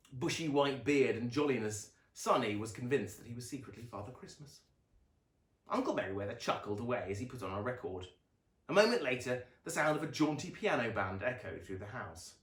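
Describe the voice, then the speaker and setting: A man reading children's fiction aloud as a dramatic, expressive narrator with a deliberate delivery. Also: mid-range voice, reverberant.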